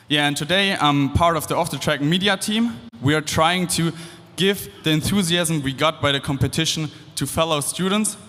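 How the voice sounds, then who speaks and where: A young man with a German accent speaks to a hall full of students in a casual, upbeat way, with loose fillers and restarts. His voice sits a little high for a man. Long reverb from the big hall trails behind each phrase. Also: fairly bright tone. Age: 20s. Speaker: guest speaker. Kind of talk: stage talk to students. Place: large hall.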